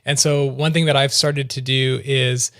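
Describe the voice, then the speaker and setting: A man's voice: medium pitch, flat delivery, a little slow, a bit bright, no room sound, studio quality. A man hosting a podcast interview, listening closely and murmuring agreement. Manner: attentive, frequent murmured agreement. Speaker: podcast host and worship leader.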